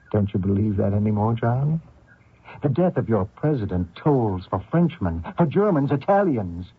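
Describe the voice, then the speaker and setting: An actor playing a vengeful Canadian man hunting a fugitive, deliberate and taunting in an old radio drama. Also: lowish voice, very animated, breathy edge, heavily acted. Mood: accusing. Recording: muffled thin old radio recording.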